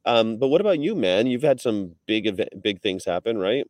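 A man who is a touring animal rights activist, talking in a relaxed, slangy, casual way over a video call. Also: on the low side, moderate pace, no echo, studio quality. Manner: laid-back slangy talk. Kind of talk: casual conversation.

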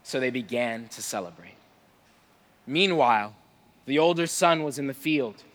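Male preacher, bright forceful voice, informal and playful delivery, big echoing room. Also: medium pitch, lively intonation, moderate pace. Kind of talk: sermon with casual humour and scripture reading. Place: large reverberant room before a live audience. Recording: long hall reverb.

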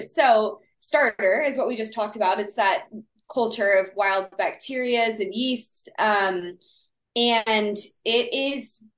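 A woman with a Texas accent who talks quickly and explains with many fillers. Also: on the high side, reverberant. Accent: Texan. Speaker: baking guest. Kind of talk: casual podcast chat.

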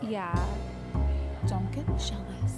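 A woman's voice: mid-range voice, slow, close to an everyday voice. An actress playing an anxious young woman in a naturalistic audio drama, hesitant and over-explaining as she insists she is fine. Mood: guarded. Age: young adult. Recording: music behind the voice.

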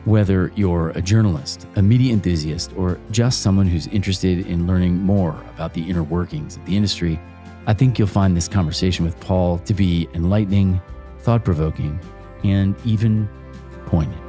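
A man hosting a podcast in a deep, mellow voice. He reads his scripted opening in a measured way, with faint music under it. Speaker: podcast host. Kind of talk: scripted intro, then interview questions.

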